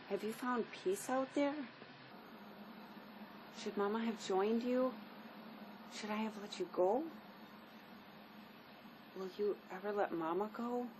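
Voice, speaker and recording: A woman's voice: mid-range voice, lightly acted. An actress playing a wistful, grieving woman on the run in a drama, with a plaintive delivery. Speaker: actor. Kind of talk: dramatic dialogue reading. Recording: clear background noise.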